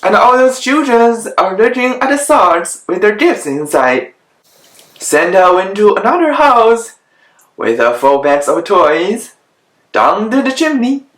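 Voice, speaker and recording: A woman reads children's rhymes aloud as a storyteller. She takes her time, pausing between phrases and dropping in small asides about the pictures. Her middle-pitched voice rings in an echoey room. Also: sing-song. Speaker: storyteller reading children's Christmas verse. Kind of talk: dramatic picture-book reading with asides.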